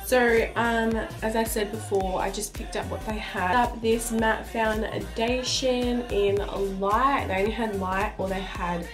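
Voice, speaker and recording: A young woman talks slowly and casually, trailing off mid-sentence as she reviews makeup. Her voice is a little stuffy from a cold, recorded by a camera mic in a small indoor room. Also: a little high, steady tone, over music. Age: young adult. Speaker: beauty vlogger.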